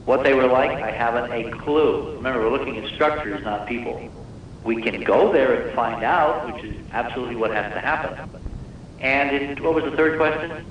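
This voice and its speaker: A man's voice: mid-range voice, moderate pace. A man speaking as a guest researcher on a live radio interview, explaining technical detail emphatically and repeating words for weight. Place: live radio call-in show. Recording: distant, very echoey, muffled noisy radio broadcast.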